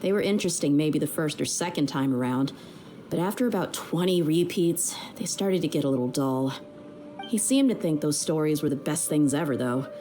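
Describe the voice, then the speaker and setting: A woman's voice: low voice, mellow. A woman playing a tough, easygoing young mercenary in a video game, frank and self-assured. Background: background music.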